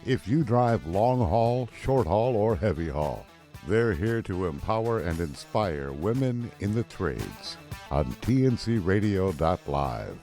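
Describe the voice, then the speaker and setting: Deep-voiced man announcing over guitar. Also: very animated, slow pace, mellow. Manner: punchy, emphatic announcer delivery. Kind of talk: scripted show intro. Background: guitar music.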